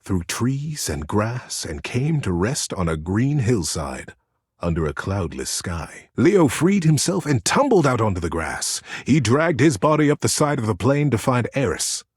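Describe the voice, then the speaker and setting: An actor voicing a gentle, earnest storyteller character from a game, narrating a bedtime tale with dramatic pauses. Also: male voice, mid-range voice, very animated, breathy edge, dry recording, studio quality. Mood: somber. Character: gentle male teddy bear storyteller, tender and earnest.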